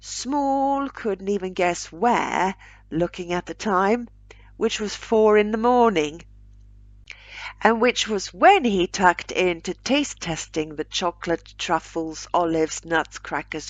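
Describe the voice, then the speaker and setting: A woman narrating a short story aloud in a measured, deliberate way. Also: mid-range voice, no room sound. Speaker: author reading own short story aloud.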